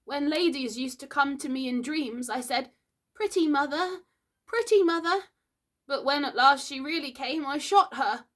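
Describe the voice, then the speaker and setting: A woman's voice: high-pitched, slow pace, sharp tone, pitched above the performer's own voice, exaggerated voice. A woman voicing an earnest, simple young boy in a storybook, speaking in dramatic, heartfelt declarations. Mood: trembling remorse.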